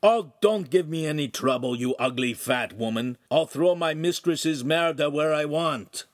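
A man voicing a crude, loud young lout who shouts insults in a rasping voice. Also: on the high side, measured pace, dry recording, studio quality, pitched above the performer's own voice, natural voice. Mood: jeering. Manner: brash, jeering, shouting.

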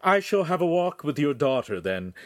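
Man playing a sneering, haughty young nobleman, pitched up. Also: very animated, moderate pace, dry recording, clean studio sound. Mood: insistent, haughty. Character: arrogant, lecherous, quick-tempered young Italian nobleman on horseback.

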